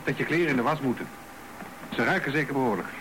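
A man's voice: mid-range voice, expressive, fast talker, lightly acted. An actor playing a jealous, suspicious husband in his fifties in a radio drama, probing and insinuating. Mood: accusing, evasive. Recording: noisy radio broadcast recording.

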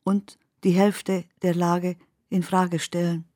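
An actress narrating surreal, dreamlike memories in a poetic radio drama, with measured and deliberate phrasing. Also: female voice, lowish voice, level tone, dark tone, no room sound, studio quality. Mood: measured, incantatory.

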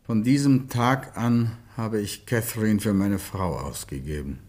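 An earnest gentleman in a classic radio drama. He speaks with deliberate, measured diction, as someone living through a troubled love affair. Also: on the low side. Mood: grave, reflective. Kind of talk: German radio play acting.

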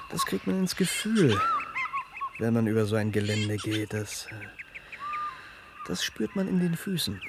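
A gruff male workman with a mid-pitched, expressive voice. He talks in halting, practical mutters in a naturalistic radio play, with clear noise and birds behind him. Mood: absorbed, gruff. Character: gruff, practical male demolition worker absorbed in work. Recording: noisy outdoor ambience with birdsong.

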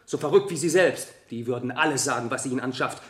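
A man in a German radio drama playing an evasive, scornful witness in court, bitter and halting. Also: medium pitch, roomy sound, slight background noise, close to an everyday voice. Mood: scornful, defiant.

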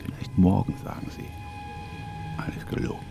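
An actor playing an embittered, suspicious old communist in a psychiatric clinic, in terse radio drama monologue. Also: male voice, normal speed, over loud music, natural voice. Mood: sullen. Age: older. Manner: terse, grumbling, breathy half-whispers swelling to declamation. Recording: noisy ambience behind the voice.

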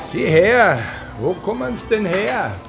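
With music playing behind, a nosy Viennese drinker in a radio play. He is boisterous and high-pitched, his voice jumping up and down in a colloquial Viennese accent. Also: big pitch swings, slow, gravelly, full character voice. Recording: music running behind the dialogue.

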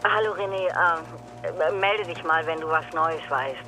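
An actress playing a concerned sister giving a short, urging message in a radio drama. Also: female voice, low-pitched, a little slow, sharp tone, slight background noise, poor audio.

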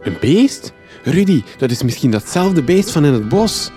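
An actor playing an eager, naive, slightly self-important reporter in a comedy radio drama, talking in an earnest, chatty way. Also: male voice, a little high, big pitch swings, unhurried, music in the background.

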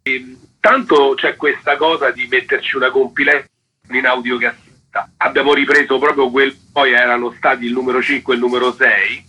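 A man's voice: medium pitch, little pitch movement, a little fast, sharp tone, breathy edge, poor audio. A man explaining things colloquially in an informal radio interview as a fanzine co-editor.

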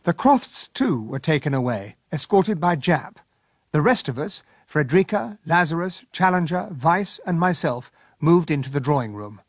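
Radio drama narrator: genial, observant English gentleman sidekick, higher-pitched, dry studio sound. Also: big pitch swings, normal speed, dry recording. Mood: wry, recounting.

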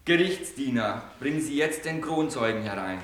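A man's voice: medium pitch, animated, normal speed, natural voice. A man playing a pompous, stern judge in a satirical radio play, commanding and mocking. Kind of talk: 1980s amateur radio play. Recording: roomy echo, faint hiss.

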